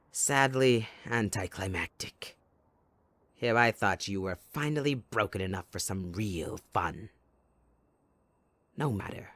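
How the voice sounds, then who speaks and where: A man playing a gleeful, sadistic supervillain kidnapper in an audio roleplay, with a theatrical, mocking delivery that swings between soft and loud. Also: on the low side, dry recording. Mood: mocking, disappointed. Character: sadistic, gleeful male supervillain kidnapper taunting his captive sidekick.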